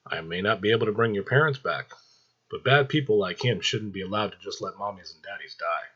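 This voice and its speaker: A man's voice: fairly low pitch, fairly quick, a bit bright, breathy edge, lo-fi sound. A man voicing a cruel, manipulative male Angel of Death with coaxing menace. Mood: falsely soothing.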